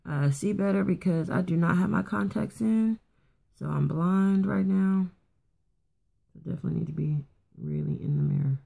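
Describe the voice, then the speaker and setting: A woman with a raspy morning voice casually talking through a quick makeup routine, rambling a bit with fillers and restarts. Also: on the low side, dark tone. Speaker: beauty vlogger. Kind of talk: casual makeup tutorial chat. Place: at home getting ready for work.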